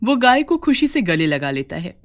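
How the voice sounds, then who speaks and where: A woman's voice: medium pitch, sing-song, normal speed. A woman narrating a scripted folk tale for a cartoon, as a storyteller.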